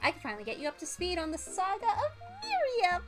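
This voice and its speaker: A woman voicing an excitable, chatty and dramatic young female best friend in a visual novel game. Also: very high voice, fast, over music, voice pushed up, full character voice. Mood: breathless, gossipy.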